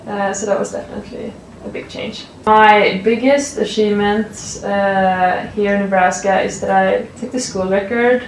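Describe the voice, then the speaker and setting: Woman, Swedish accent, twenties, relaxed and filler-heavy, echoey room, faint background hum. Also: medium pitch, normal speed, distant mic. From Sweden. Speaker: college athlete. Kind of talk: casual interview answers. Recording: roomy, off-mic, faint ambience.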